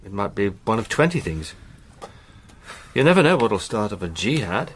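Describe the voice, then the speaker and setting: An actor playing a cheerful, adventurous gentleman in a radio drama, breezy and full of old-fashioned slang. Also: on the low side, very animated, moderate pace. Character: adventurous upper-class British gentleman, cheerful.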